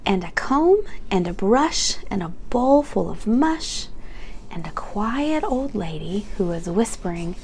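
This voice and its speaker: A young adult woman hosting a children's read-aloud channel, reading a picture book in a slow, gentle, sing-song storytime way. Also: medium pitch, big pitch swings, slight background noise.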